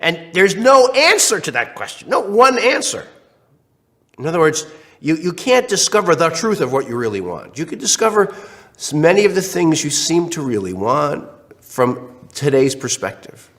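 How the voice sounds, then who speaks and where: Lively lecturing man with a congested cold. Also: a little high, normal speed. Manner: animated, acts out questions in other voices; many restarts and fillers. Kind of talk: recorded online lecture.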